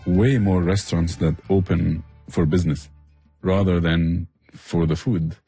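Man, very low pitch, soft dark tone, close dry sound, passionate interview guest. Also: fairly even intonation, unhurried, breathy edge, no room sound, soft background music. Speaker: barbecue restaurant owner and chef, interview guest. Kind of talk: conversational interview answers.

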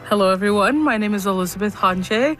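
A woman's voice: mid-range voice, a little fast, a bit bright, soft background music. A woman from Alabama, an opera competition finalist, cheerfully recalling her first opera. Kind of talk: recorded radio interview clip.

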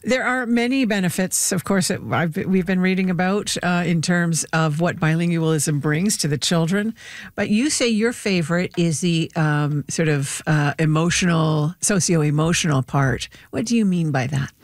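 A male radio host with a slightly higher voice speaks in a dry, close studio, prompting his guest and callers with friendly, inviting questions. He sets up topics smoothly. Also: normal speed, no room sound. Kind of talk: call-in radio show hosting.

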